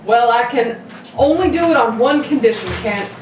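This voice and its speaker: Woman playing high-voiced skeptical male police inspector. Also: lively intonation, normal speed, a little mellow, close to an everyday voice. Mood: skeptical, official. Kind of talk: amateur recreation of 1940s radio drama. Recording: distant echoey room, noisy.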